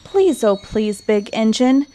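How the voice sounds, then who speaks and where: A woman's voice: expressive, slow, mellow. A woman voicing small, earnest, childlike dolls and toys in a storybook, pleading for help. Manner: high, pleading.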